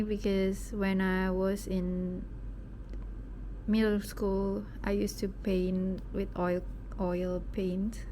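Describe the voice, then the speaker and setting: A young Indonesian woman speaks English with a soft British-leaning accent, and her unhurried, rather level delivery rambles along with the occasional hushed aside. She is recording at home late at night. A clear, steady hiss sits beneath her voice. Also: mid-range voice. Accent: Indonesian, with some British English. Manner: chatty, rambling, self-deprecating, sometimes drops to a whisper. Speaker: vlogger. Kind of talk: casual unboxing chat.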